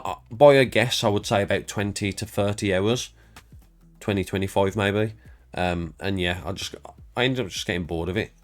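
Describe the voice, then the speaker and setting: A man with a congested, stuffed-up voice, rambling casually and self-deprecatingly as he walks through his game collection for his channel. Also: low voice. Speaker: YouTube creator.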